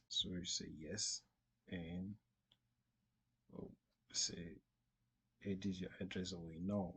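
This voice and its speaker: A man with a deep voice presents a step-by-step tutorial. His delivery is slow and halting, circling back to repeat instructions. The tone is a little rough and unclear at the edges. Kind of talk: step-by-step screen tutorial narration, unscripted.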